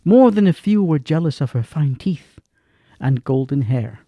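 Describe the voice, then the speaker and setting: Slow, expressive male narrator. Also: on the high side, dry recording, dull audio. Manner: slow and expressive, swings between hush and emphasis. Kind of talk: dramatic radio play narration.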